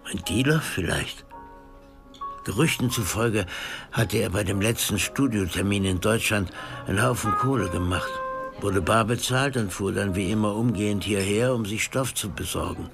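An actor playing an easygoing veteran male jazz musician in a radio drama, gravelly and casual, with wry, slangy talk. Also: lowish voice, moderate pace, music in the background. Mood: reflective.

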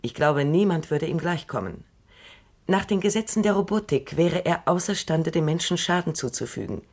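An actress playing Doctor Calvin, a shrewd, dry and assured elderly woman robot psychologist, speaking in a measured, knowing way in a radio drama. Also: sits low, animated, a little mellow. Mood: wry.

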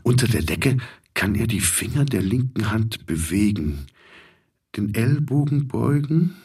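A man reading scripted literary narration for a radio drama in a measured, sober manner. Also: lowish voice, expressive, darkish tone, breathy edge, distant mic.

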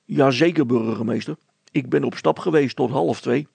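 Storybook constable, played by a male reader: stubborn, ponderous, suspicious, with a rough creaky voice. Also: medium pitch, normal speed, a little mellow, no room sound. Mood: self-important. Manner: hesitant, ponderous, solemn. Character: stubborn, self-important male village constable, solemnly suspicious.

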